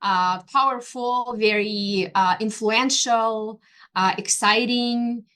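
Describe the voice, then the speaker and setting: A woman's voice: fairly high pitch, steady tone, slow pace, fairly bright tone, clean tone. Hesitant woman from Ukraine, filler-heavy. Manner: hesitant, many fillers and restarts. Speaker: interior designer and artist. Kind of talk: conversational interview.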